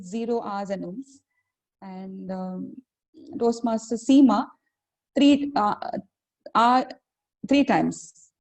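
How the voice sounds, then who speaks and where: A fairly high-voiced woman, speaking slowly over a video call. She halts often with ums and uhs as she reads out a list of counts. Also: a little mellow, low-quality recording. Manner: halting, many ums and uhs, reading out counts. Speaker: filler-word counter at a speaking club meeting.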